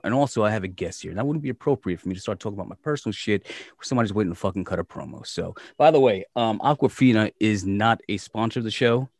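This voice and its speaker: A man with a somewhat low voice hosts a podcast, talking loosely and joking with frequent swearing. His voice is dry and close-miked, with no room echo. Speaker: podcast host. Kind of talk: casual, profane podcast chat.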